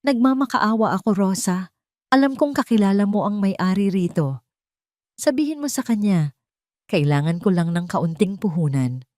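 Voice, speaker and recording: A woman's voice: medium pitch, lively intonation, moderate pace, dark tone, no room sound, studio quality, lightly acted. Woman voicing a desperate, pleading ruined husband. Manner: pleading, imploring.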